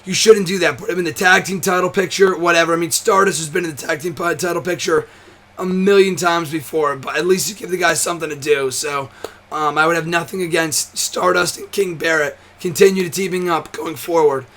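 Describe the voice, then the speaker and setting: A hoarse, tired young man hosting a wrestling show, chatting casually to camera with a nearly gone voice, rambling, swearing and restarting often. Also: fairly high pitch, fairly even intonation, brisk, fairly bright tone. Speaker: wrestling commentary host. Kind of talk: casual to-camera vlog chat.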